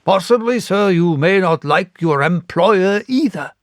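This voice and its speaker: A haughty, hot-tempered squire, acted out by a male storybook reader, pompous and indignant. His voice is pushed high, with emphatic questioning and very little room sound. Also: animated, unhurried, dry recording, studio quality. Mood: angry, indignant. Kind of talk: audiobook character reading.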